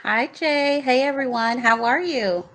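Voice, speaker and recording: A woman panelist in a casual online chat who talks in a warm, agreeable way. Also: high voice, unhurried.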